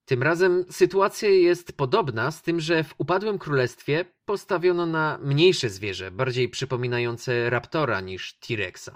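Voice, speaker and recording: A man's voice: fairly high pitch, measured pace, few pauses. A man hosting a radio programme, delivering a scripted film review. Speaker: radio show host presenting films.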